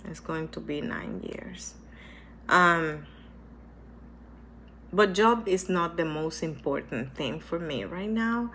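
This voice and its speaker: Slow, gravelly woman with a stuffy voice. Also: sits low, lively intonation, a bit bright, slight background noise. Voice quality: hesitant, with many restarts and repetitions. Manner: earnest, reflective, speaks slowly. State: stuffy from a cold. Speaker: vlogger sharing her cancer experience. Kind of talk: casual personal talk to camera.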